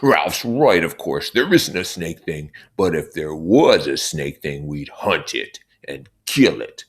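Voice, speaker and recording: A man's voice: rumbling low, very animated, slow, slightly rough, heavily acted. A man voicing a bold, aggressive boy hunter with a decisive manner, in a storybook reading. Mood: bold, determined.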